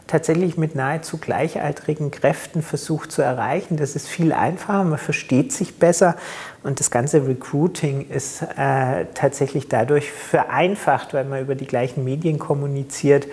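A man with a cold and a congested voice, co-hosting a business podcast and explaining in a formal, measured way. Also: on the high side, a little mellow. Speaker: podcast co-host, consultant.

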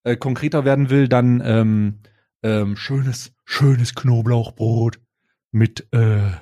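A man's voice: mid-range voice, steady tone, slow, mellow. A young man with a German accent co-hosting a podcast, chatting casually in slang and often searching for his words. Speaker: podcast co-host. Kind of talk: casual unscripted podcast chat.

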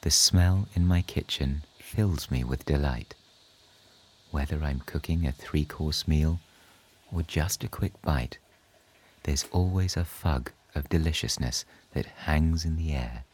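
A man narrating a scripted bedtime sleep story in a soothing, hushed, unhurried storytelling manner. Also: a bass voice. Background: soft relaxation music.

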